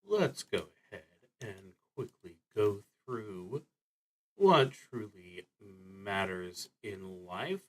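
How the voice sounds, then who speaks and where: A man with a mid-pitched voice hosts a solo tabletop role-playing stream. He talks very slowly and casually, often pausing mid-sentence to find the next phrase. His delivery is wry and self-deprecating. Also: fairly bright tone, low-quality recording. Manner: halting, with long pauses mid-sentence. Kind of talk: casual livestream talk introducing a game.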